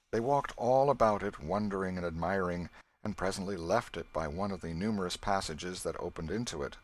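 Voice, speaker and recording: A man's voice: fairly low pitch, fairly bright tone. A man reading a literary story aloud as an audiobook narrator, in a scripted style.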